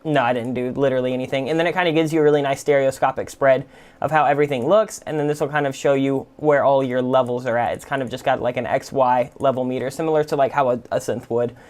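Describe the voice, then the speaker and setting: A man hosting a music production tutorial, explaining software casually and informally without a script. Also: mid-range voice, steady tone, fast talker. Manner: informal, explanatory, full of "like" and "kind of".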